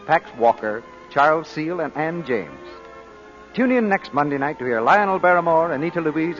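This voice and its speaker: A man's voice: a little high, a bit bright. A man giving a formal, scripted 1940s radio announcement. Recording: muffled old radio broadcast with music.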